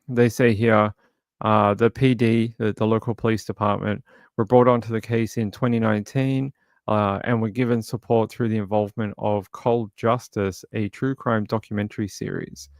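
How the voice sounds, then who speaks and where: Gravelly-voiced man, low and dry. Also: steady tone, dry recording. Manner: halting, many fillers and restarts. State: crackly voice. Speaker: true crime livestream host. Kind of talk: casual livestream commentary, reading chat.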